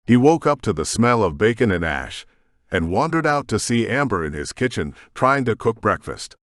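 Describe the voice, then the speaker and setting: A man's voice: lowish voice, big pitch swings, normal speed, a bit bright, no room sound, studio quality. A man reading scripted fiction as an audiobook narrator. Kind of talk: scripted sci-fi story narration.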